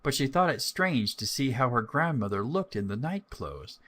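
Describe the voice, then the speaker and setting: Male narrator for children's fairy tales, mid-range voice with lively, varied melody. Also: mid-range voice, moderate pace, slight background noise. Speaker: storyteller. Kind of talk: scripted fairy tale narration.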